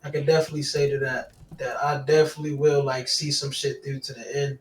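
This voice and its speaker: Young man, halting, echoey and off-mic. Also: mid-range voice, little pitch movement, far from the mic, faint music behind. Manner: halting, searches for words, casual swearing. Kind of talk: casual chat.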